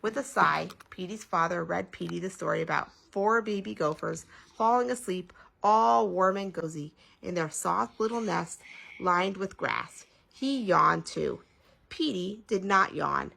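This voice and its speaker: A woman reading a children's bedtime story aloud in an expressive, animated way, drawing out some words. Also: on the low side, unhurried, vocal fry, poor audio.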